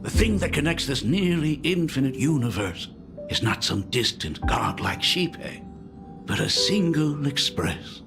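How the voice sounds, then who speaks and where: An actor voicing a doting, excitable middle-aged artist father in a video game, theatrical and effusive. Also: on the high side, unhurried, gravelly, music in the background. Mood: excited. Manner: theatrical, effusive, chuckles often. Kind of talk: animated video game voice acting.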